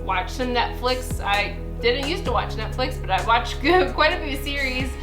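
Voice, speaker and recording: A woman in her late twenties chats to camera in a personal vlog, upbeat and quick to laugh. Her voice sits a little high for a woman. Music plays clearly underneath her. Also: lively intonation. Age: 20s. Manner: chatty and upbeat, laughs often. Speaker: vlogger.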